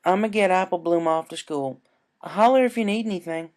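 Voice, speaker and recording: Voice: mid-range voice, a little fast, darkish tone, pitched above the performer's own voice, close to an everyday voice. A performer voicing an adult female farm pony, stern but caring, with folksy country phrasing, in a cartoon fan story. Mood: teasing.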